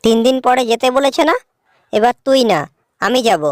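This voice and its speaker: A woman's voice: high voice, normal speed, dry recording, lightly acted. A woman voicing a rude, greedy, jealous elder daughter in a cartoon story, with a bossy, snippy manner. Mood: defiant.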